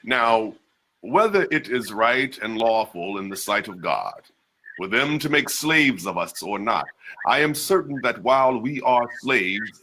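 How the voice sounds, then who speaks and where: A man giving a slow, solemn dramatic reading of an old address and poem. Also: on the low side, bright, forceful tone, rough recording. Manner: slow, measured, solemn recitation. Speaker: reader of historical texts.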